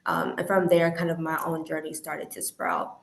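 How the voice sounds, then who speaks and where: Young Midwestern woman, lowish voice, earnest and reflective guest sharing testimony; roomy, echoey sound. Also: lively intonation, normal speed, gravelly. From Indiana, American Midwest. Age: young adult. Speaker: interview guest. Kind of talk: personal testimony in conversation. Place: remote online call. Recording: roomy, echoey call audio.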